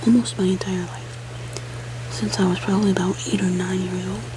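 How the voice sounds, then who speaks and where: A woman whispering soft, rambling chat as she colors for an ASMR video, sniffling now and then from allergies. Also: mid-range voice, little pitch movement, a little fast, background noise. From United States. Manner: soft-spoken, rambling, chatty aside. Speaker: ASMR creator.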